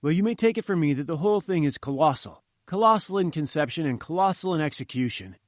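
Man doing an eager, high-voiced young reporter. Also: expressive, fast talker, dry recording, clean studio sound, natural voice.

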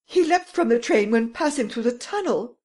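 A woman voicing an excitable, dramatic French lady who exclaims breathlessly. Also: on the high side, moderate pace, voice pushed up. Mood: insistent.

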